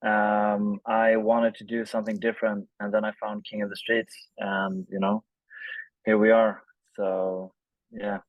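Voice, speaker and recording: A man, telling his story casually and haltingly as an interview guest, with informal language. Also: on the low side, monotone, no room sound, phone-quality sound. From Sweden. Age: 30s. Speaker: interview guest, film director.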